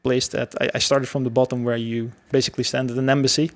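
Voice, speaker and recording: A man talks as a guest on an interview podcast. His voice has a marked creaky fry, and he tells his story casually, with a halting, hesitant delivery. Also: mid-range voice, flat delivery. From Belgium. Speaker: podcast guest, security professional.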